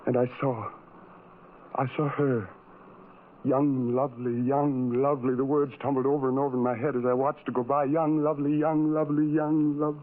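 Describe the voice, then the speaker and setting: A man's voice: medium pitch, flat delivery, natural voice. Man acting a lonely, tormented radio drama narrator. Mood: yearning. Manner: brooding, halting, repetitive, intense confessional delivery. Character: lonely, tormented, self-loathing man who hides from people. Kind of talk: 1970s radio horror drama monologue. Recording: tinny old radio recording, music behind.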